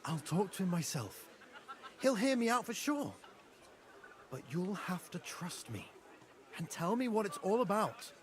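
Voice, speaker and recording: Earnest young nobleman, fairly high. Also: very animated, measured pace, long pauses, slight background noise. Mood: reassuring. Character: dutiful proud young nobleman, loyal younger son. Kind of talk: dramatic game dialogue in a medieval setting.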